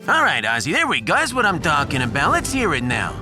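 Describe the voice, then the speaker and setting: A man's voice: on the high side, huge pitch swings, fast talker, music in the background. A man voicing a brash starship captain with a punchy, colloquial, commanding manner in a sci-fi audiodrama. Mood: urgent. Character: brash, fast-deciding starship captain rallying the crew.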